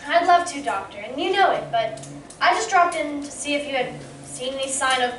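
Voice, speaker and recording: A woman playing an inquisitive, excitable heroine in an old-time space radio serial, with dramatic delivery. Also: high-pitched, a little fast. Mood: bright curious. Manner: eager, dramatic, exclaiming. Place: community theatre stage. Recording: echoey stage from far off, faint noise.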